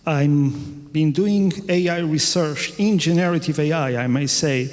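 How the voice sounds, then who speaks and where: Higher-voiced professor in a hall. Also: male voice, a little slow, a little mellow. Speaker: university professor. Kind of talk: conference self-introduction. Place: large conference auditorium. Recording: long hall reverb.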